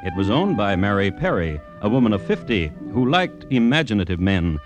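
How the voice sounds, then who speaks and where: A man hosting and narrating an old radio crime series in a dramatic, deliberate, wry storytelling style. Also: lowish voice, sing-song, soft background music. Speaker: host and narrator.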